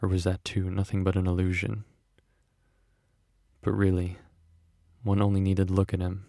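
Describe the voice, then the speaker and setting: A man playing a brooding, obsessive doctor in a horror manga dub, speaking in a grave, detached, gravelly way. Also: deep voice, completely flat delivery, normal speed, warm tone, no room sound, studio quality. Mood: somber. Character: brooding, obsessive male doctor, coldly rationalizing human experiments.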